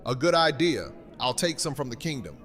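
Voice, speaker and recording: A man's voice: mid-range voice, very animated, moderate pace, fairly bright tone. A man playing a gracious, stately kingdom leader with formal, courtly speech in an audio drama. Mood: agreeable, formal. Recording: echoey hall, faint music behind.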